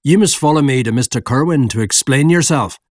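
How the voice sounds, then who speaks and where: A man's voice: medium pitch, fairly quick, no room sound, studio quality, pitched above the performer's own voice, close to an everyday voice. A man voicing a stern, rude, suspicious townsman in an audiobook, curt and accusing.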